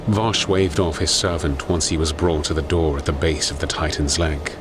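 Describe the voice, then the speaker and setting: A man giving grave, deliberate, dramatic narration of a scripted audio story. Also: rumbling low, steady tone, background noise. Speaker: narrator and voice performer.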